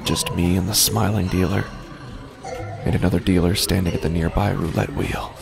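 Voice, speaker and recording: A man playing an uneasy, haunted gambler, narrating a first-person horror audio drama in a halting, deliberate, breathy voice that often nears a whisper. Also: low-pitched, steady tone. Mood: horrified awe. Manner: halting, hesitant, self-repeating, slow and deliberate. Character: uneasy, haunted male gambler telling his nightmare. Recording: continuous music bed under the voice. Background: loud music.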